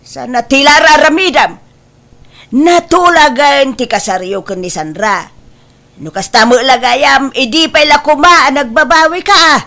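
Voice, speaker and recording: A woman's voice: high voice, a little slow, sharp tone, full character voice. A woman playing a doting mother of a grown son, emphatic and exclamatory in an Ilocano comedy drama. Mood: shocked, scolding. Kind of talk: Ilocano radio-style comedy drama acting.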